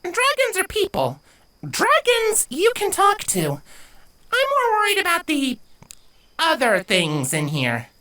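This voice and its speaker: A young changeling filly, bold and studious, in a very high, bright voice. She takes charge like a bossy little bookworm in a storybook adventure, with lively swings of pitch. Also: slow, full character voice. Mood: muttering, wary.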